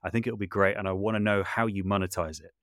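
A man's voice: lowish voice, steady tone, fast talker, fairly bright tone, dry recording, studio quality. A man speaking reflectively as an interview guest, thoughtful and often hedging or correcting himself as he goes. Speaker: podcast guest, audio drama maker and composer.

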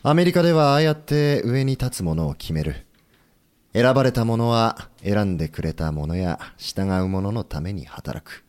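Earnest, low-voiced rebel leader played by a man. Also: animated, a little mellow, lightly acted. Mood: earnest resolve. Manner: measured, sincere, formal. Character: earnest, humble, idealistic leader of a rebel government. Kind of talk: historical audio drama acting.

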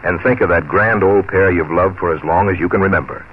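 An American man announcing and pitching products in a polished, scripted 1940s radio style. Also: fairly quick, a little hiss or hum. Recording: muffled 1940s radio broadcast, music behind.

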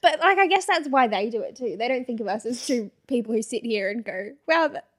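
A woman's voice: fairly high pitch, expressive, a little fast, a bit bright. A young woman co-hosting a podcast, casually reading hate comments aloud and laughing often. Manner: giggly, reads comments aloud with mock voices. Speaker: podcast co-host.

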